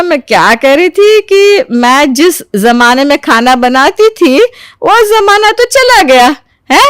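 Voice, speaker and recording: A woman's voice: sits high, fairly bright tone, dry recording, studio quality, exaggerated voice. A woman voicing a scheming, dramatic older mother-in-law in a cartoon story, theatrical and falsely sweet. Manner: theatrical, exaggerated. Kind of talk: animated Hindi moral story voice acting.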